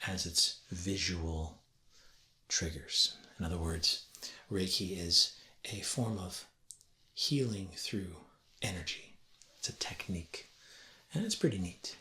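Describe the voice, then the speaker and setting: A man, perhaps in his thirties, gently and deliberately explaining things as a teacher in a soft-spoken ASMR roleplay lesson. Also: low-pitched. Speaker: ASMR creator playing a teacher. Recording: roomy echo with faint ambient noise.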